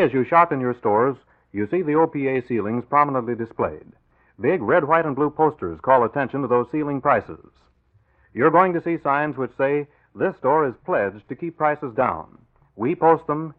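A man with an American accent announcing and narrating in scripted 1940s radio style. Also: mid-range voice. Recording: tinny, phone-like old radio recording.

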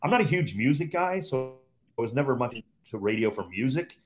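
A man's voice: medium pitch, expressive, a little fast, faint music behind. A man in his fifties from Cleveland, a radio sports commentator, telling his career story as a podcast guest in an easygoing, reflective way. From Cleveland, Ohio, USA. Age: 50s. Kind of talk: relaxed storytelling in conversation.

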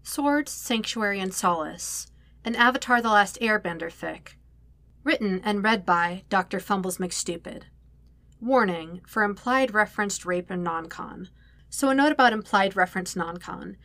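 Bright-voiced woman reading a story. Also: medium pitch, normal speed, dry recording. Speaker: podfic narrator, reading own fan fiction. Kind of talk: expressive scripted story narration.

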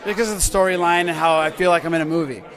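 A man's voice: a little high, steady tone, rapid-fire. A man hosting a casual podcast chat, asking questions in an enthusiastic manner. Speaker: podcast host. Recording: busy crowd noise around the voices.

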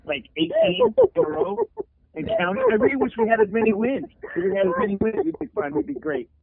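A man's voice: extremely high pitch, huge pitch swings, fairly quick, creaky, crowd noise. A man co-hosting a podcast in casual banter, teasing and repeating his words for comic effect. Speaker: podcast co-host. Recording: phone-like, narrow-band call audio.

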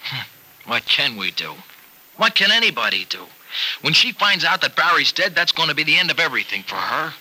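A man's voice: on the high side, sing-song, brisk, bright, forceful tone, husky, full character voice, low-quality recording. An actor in an old-time radio soap opera playing a folksy, generous American farmer who hesitates earnestly as he worries over an injured friend. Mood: worried. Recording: vintage radio drama recording.

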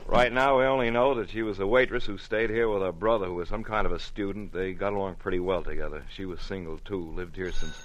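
An American man playing a quiet, matter-of-fact police detective lieutenant in 1940s radio drama style. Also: sits low, steady tone, quick pace, few pauses, a little hiss or hum, lightly acted. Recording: dull vintage radio recording.

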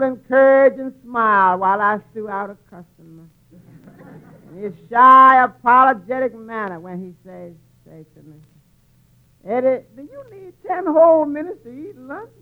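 An actor playing a meek, droll male tavern waiter speaking with dry, mock fondness in a 1940s radio comedy. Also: extremely high pitch. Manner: dry, mock-fond, deliberate. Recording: muffled, very noisy vintage radio recording.

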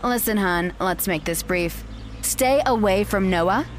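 A woman's voice: mid-range voice, a little slow, slight background noise, lightly acted. A woman playing a cold, condescending ex-fiancée. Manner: condescending, dismissive. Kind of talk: audio drama acting.

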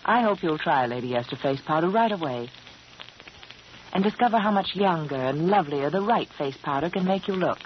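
Woman radio hostess with very lively intonation. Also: deep voice, fairly quick. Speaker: sponsor's hostess reading an advertisement. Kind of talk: 1940s radio commercial, scripted. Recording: muffled, noisy old radio broadcast.

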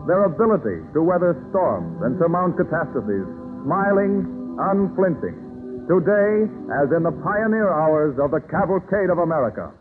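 Male announcer, 1930s radio style, slightly high pitch, lively intonation, formal and declamatory, scripted narration, vintage narrow-band recording. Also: loud music behind the voice. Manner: formal, declamatory, measured phrasing.

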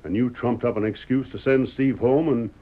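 A man's voice: mid-range voice, fairly even intonation, normal speed, natural voice. A stubborn middle-aged frontier man, played by a male radio actor, sly and folksy. He needles in a Western American drawl, on a dull vintage radio recording. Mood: wry, defiant. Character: stubborn, wry Old West father, sheriff candidate. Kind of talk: old-time radio western acting.

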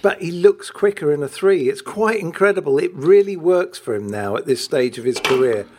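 A man's voice: fairly high pitch, lively intonation, moderate pace, a little mellow. A man, co-hosting a football podcast in casual chat. He is enthusiastic, laughs often, and repeats words while he finds his thought.

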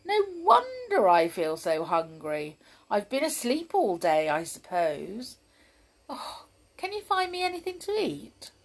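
A woman's voice: mid-range voice, huge pitch swings, unhurried, full character voice. A woman voicing a cross, hungry young male pixie in a storybook reading. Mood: grumbling. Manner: grumpy.

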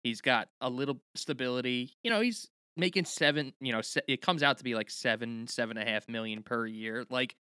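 New guy on a sports podcast, chatty and emphatic, bright clear tone, dry close sound. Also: medium pitch, fairly quick, few pauses, dry recording. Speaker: podcast co-host. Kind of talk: casual hockey podcast chat.

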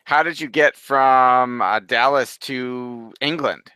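Bright-voiced male podcast host. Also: medium pitch, slow, smooth, dry recording. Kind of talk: interview.

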